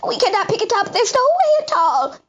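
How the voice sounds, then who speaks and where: Anxious storybook pet fish, played by a woman reader: very high, animated, scolding and exclamatory. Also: a little fast. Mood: worried, despairing.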